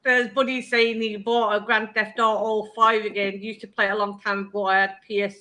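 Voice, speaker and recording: A woman's voice: medium pitch, flat delivery, moderate pace, pushed and bright. A woman from England with a Northern English accent from Oldham, hosting a casual livestream chat in a chatty, self-deprecating way. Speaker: cooking channel host.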